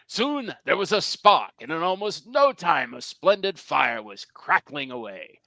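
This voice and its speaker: A man narrates a storybook tale in a bright, slightly high voice. He leans on words like a storyteller and adds wry asides, with creaky edges. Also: very animated, slow, no room sound. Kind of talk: animated storybook narration at a live script reading.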